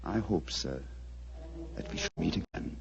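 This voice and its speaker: Animated, authoritative detective. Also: male voice, deep voice, a little slow, gravelly, full character voice. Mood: grave, regretful. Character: brisk, authoritative male detective travelling incognito. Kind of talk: 1940s radio acting. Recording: muffled, noisy 1940s radio recording with hum.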